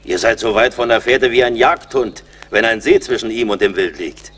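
An actor playing a seasoned, blunt and mocking frontier scout in a radio play, with a wry, commanding manner. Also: male voice, lowish voice, moderate pace, a bit bright, breathy edge. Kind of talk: German radio play acting.

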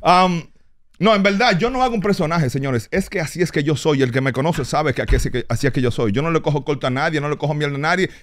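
A middle-aged Dominican man talking bluntly and crudely as a guest on a studio talk show, recorded on a desk boom mic. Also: fast talker. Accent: Dominican Spanish. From Dominican Republic, raised in the United States. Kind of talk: casual talk-show banter. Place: TV-style podcast studio with neon set.

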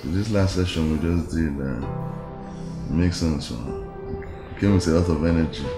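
A cocky Nigerian lover in screen drama, low-voiced and boastful, always fishing for agreement. The room is echoey, with music clearly behind him. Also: male voice, moderate pace. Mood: smug. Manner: boastful, keeps seeking agreement. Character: cocky, boastful philandering lover, self-satisfied and smooth-talking. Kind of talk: screen drama acting, intimate chat.

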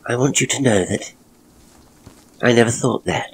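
A man voicing a frail, earnest young woman in a ghost story, hushed and halting. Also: mid-range voice, a little hiss or hum, voice pushed up, lightly acted, low-quality recording. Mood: earnest, confessional.

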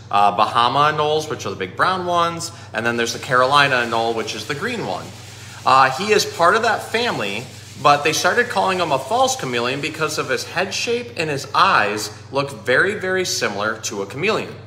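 Bright-voiced man in an echoey room. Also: medium pitch. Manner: conversational, explanatory. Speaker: zookeeper and pet store presenter. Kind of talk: casual educational animal talk and picture-book storytime. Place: small indoor room with painted aquarium backdrop. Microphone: phone at arm's length. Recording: echoey room, faint background noise.

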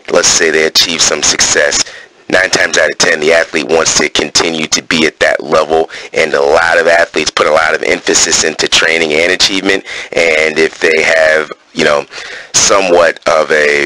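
A man's voice: very deep voice, pushed and bright, no echo, poor audio. A man reading coaching points aloud in a wrestling gym, breaking off into casual summaries with fillers. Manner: alternates terse read-out points with casual asides full of fillers.

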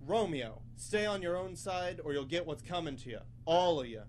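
A man's voice: on the high side, expressive, normal speed, a little hiss or hum, lightly acted. A man acting a menacing, jealous college rival in a live student radio play, taunting and threatening. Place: small college radio studio.

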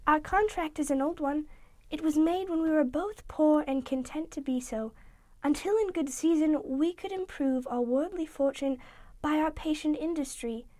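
A man voicing a soft, high-pitched sorrowful young woman. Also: no echo. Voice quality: soft, gentle. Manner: quiet, measured, resigned pleading. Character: gentle, sorrowful young woman releasing her betrothed. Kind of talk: audiobook reading.

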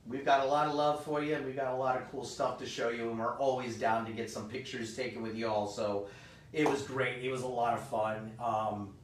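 A hoarse man hosts a live stream in upbeat, rambling chat full of fillers. His voice sits off the mic in a roomy space. A faint rumble runs underneath. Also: fairly even intonation, brisk, recorded from a distance. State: hoarse, voice partly gone. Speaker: host of a live comic shop stream.

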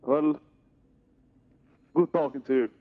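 A man's voice: fairly high pitch, fairly even intonation, takes it slowly, phone-quality sound. A young man from Sweden talking casually in an online game's voice chat through a gaming headset. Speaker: player in an online game.

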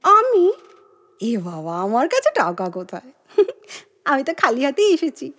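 A woman's voice: high-pitched, huge pitch swings, slow, a bit bright, full character voice. An actress playing a naive, childlike wife in a comic audio drama, coaxing and repeating her words in a playful, theatrical way. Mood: innocent, cheeky. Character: childlike, chirpy, naive wife, cheerfully wheedling her exasperated husband.

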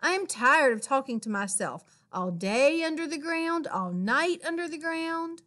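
Woman voicing an excitable, high-pitched storybook skeleton. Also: a little slow, full character voice. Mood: chatty. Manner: loud, exclaiming delivery. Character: talkative skeleton, excitable, easily scared, lonely. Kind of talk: children's storybook character voice.

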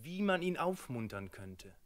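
An actor playing a worried, dutiful royal servant in a radio play, deferential and fretful. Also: male voice, a little high, sing-song, unhurried, in a large hall.

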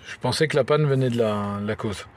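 A young man talks informally to his phone at arm's length. His delivery is wry and colloquial, at a middling pitch. There is faint noise around his voice. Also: a little fast. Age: young adult. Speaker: vlogger. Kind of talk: casual vlog chat.

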